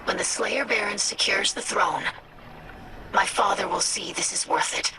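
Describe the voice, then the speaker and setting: An actress playing a young, earnest, anxious alien scientist in a video game, speaking with gentle, formal precision. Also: female voice, medium pitch, fairly even intonation, moderate pace, background noise, close to an everyday voice. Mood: earnest, focused. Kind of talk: dramatic video game acting.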